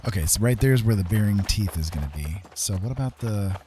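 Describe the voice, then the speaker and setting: Lowish-voiced man casually streaming a game. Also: animated, brisk, dark tone, a little hiss or hum. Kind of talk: casual live gameplay commentary.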